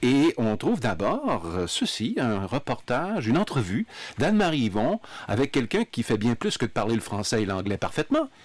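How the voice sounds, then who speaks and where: A man's voice: mid-range voice, huge pitch swings, normal speed, no room sound. Male radio host, dry studio sound. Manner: leads the talk, feeds figures and questions, jokes with guests. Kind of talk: studio panel discussion.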